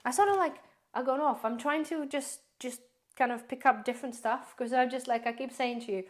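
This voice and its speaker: A woman with a slightly raised pitch talks viewers through her grocery haul in a loose, rambling vlog style. Her voice is a little hoarse, as if she is losing it. She speaks to viewers directly and calls them guys. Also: fairly quick. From based in the UK. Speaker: prepper vlogger.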